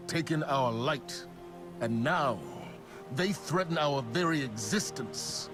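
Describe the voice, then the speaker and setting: Stern war leader: unhurried, rising and falling rallying oratory, resolute, over dramatic music. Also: male voice, medium pitch. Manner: grave, deliberate, oratorical. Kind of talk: scripted video game cutscene acting.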